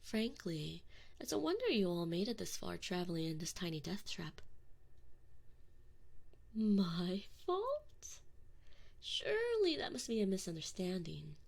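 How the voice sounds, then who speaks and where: A woman's voice: lowish voice, big pitch swings, darkish tone, heavily acted. A woman playing a sadistic, mock-polite alien enforcer in an ASMR roleplay, taunting sweetly and possessively into a close binaural microphone. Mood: possessive purring. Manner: slow, mock-sweet, taunting, often dropping into breathy whispers.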